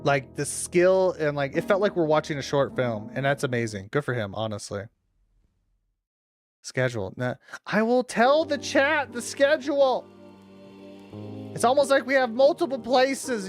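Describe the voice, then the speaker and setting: A man's voice: high-pitched, big pitch swings, normal speed, faint music behind. A man livestreamer talking casually to his chat, informal and teasing, with some swearing. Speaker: livestreamer hosting a watch-along.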